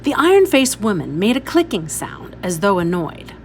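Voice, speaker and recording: A woman's voice: medium pitch, very animated, a little hiss or hum. A woman reading a story aloud expressively, as a teacher would for pupils. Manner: instructive, pausing to point out details.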